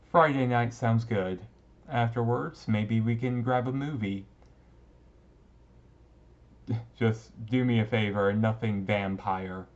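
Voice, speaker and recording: Man playing bashful teen-looking vampire boyfriend, unhurried, lowish voice. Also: highs cut off. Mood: playful, fond. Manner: hesitant, bashful, casual, teasing. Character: shy young-looking male vampire, emo goth classmate, sweetly smitten. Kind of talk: romantic roleplay audio drama, talking to listener.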